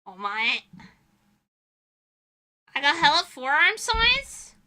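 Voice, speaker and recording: A woman's voice: sits high, sing-song, takes it slowly, sharp tone. A young woman streaming as a VTuber, chatting casually with her viewers in a rambling, giggly way.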